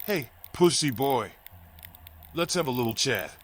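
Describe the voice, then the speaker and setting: An actor playing a menacing animal street thug in a video game, mocking and confrontational. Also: male voice, mid-range voice, expressive, a little slow, fairly bright tone. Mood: mocking menace.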